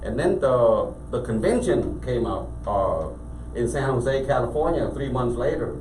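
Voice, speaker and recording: A man's voice: medium pitch, unhurried. Cambodian-accented man telling his story. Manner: animated storytelling with frequent restarts. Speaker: interview guest, business owner. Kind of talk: casual storytelling interview. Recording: echoey room, mic at a distance, faint background noise.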